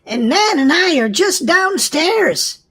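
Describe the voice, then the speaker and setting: A woman's voice: very high voice, very animated, takes it slowly, a bit bright, voice pushed up, full character voice. A woman voicing a concerned, kindly grandfather who explains things reassuringly in a children's storybook. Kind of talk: children's storybook read-aloud.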